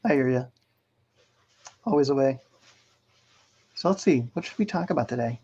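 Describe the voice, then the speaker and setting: A man's voice: medium pitch, expressive, normal speed, dark tone, a little hiss or hum. An American man co-hosting a casual livestream, easygoing and agreeable in the way he talks. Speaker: livestream co-host. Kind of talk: casual chat. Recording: slightly dull lo-fi stream audio.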